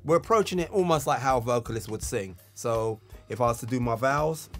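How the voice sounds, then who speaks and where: A man's voice: mid-range voice, expressive, fairly quick. A man giving an instructional tutorial as a music tutor, explaining technique with emphasis on key words.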